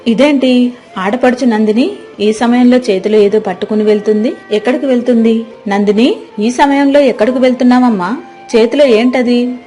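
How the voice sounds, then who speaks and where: Mellow, concerned young bride, voiced by a woman. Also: a little high, moderate pace, faint music behind, lightly acted. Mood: puzzled, concerned. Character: kind, concerned young daughter-in-law newly married into household. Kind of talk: scripted moral-story dialogue.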